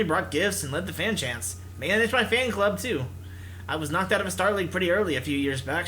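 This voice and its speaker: A man voicing an easygoing young male pro gamer in a visual novel, telling things in a relaxed, unhurried manner. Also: a little high, a little hiss or hum, low-quality recording. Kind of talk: visual novel character read-aloud.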